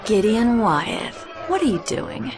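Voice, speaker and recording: An actress playing a bossy, sarcastic young fiancée scolding in a video game cutscene. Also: female voice, a little high, big pitch swings, slow, crowd noise. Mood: exasperated. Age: young adult. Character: sharp-tongued, bossy young fiancée of a pilot. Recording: music behind the voice.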